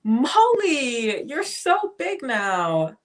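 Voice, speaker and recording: Animated, chatty big sister played by a woman. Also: high voice, extremely slow, full character voice. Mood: chatty, breezy. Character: chatty, affectionate, slightly vain older sister. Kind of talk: dramatic script reading.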